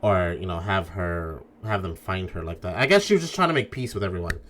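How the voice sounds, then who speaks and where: A man's voice: sits low, animated, brisk, fairly bright tone, slight background noise. A man talking casually and informally as a game streamer, swearing and thinking aloud through his theories. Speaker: streamer playing a mystery game.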